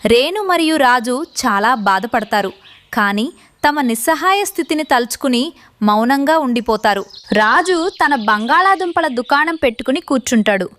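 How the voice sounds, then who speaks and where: A woman's voice: sits high, a bit bright, no echo. A woman narrating a scripted children's moral story.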